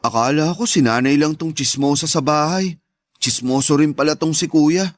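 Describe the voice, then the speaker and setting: A man's voice: fairly high pitch, normal speed, no room sound, lightly acted. A meek young son's voice acted by a man in a radio drama, recorded close and dry. He is polite and apologetic, timidly defending himself to a parent. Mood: defensive. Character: meek, shy, tall young son, apologetic to his mother. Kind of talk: Tagalog radio drama acting.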